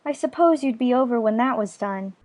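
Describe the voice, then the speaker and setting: A man voicing a polite, curious young girl in a high falsetto for a storybook reading. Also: lively intonation, fairly quick, a little mellow. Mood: earnest.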